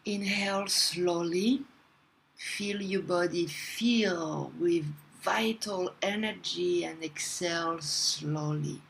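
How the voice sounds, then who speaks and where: A woman guides a meditation at an extremely slow pace, with long pauses between phrases. Her voice sits a little low, and her delivery is soothing and deliberate. There is clear ambient noise behind her. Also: rough recording.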